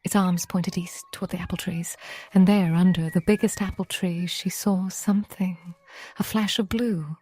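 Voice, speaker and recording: A woman narrating a scripted bedtime story in a soft, breathy, near-whispered voice, gentle and unhurried, with long pauses. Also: lowish voice, fairly even intonation.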